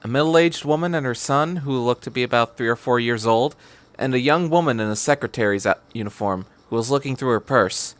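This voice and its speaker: A man's voice: mid-range voice, a little fast, fairly bright tone. A man reading a piece of fan fiction aloud as a scripted story narration.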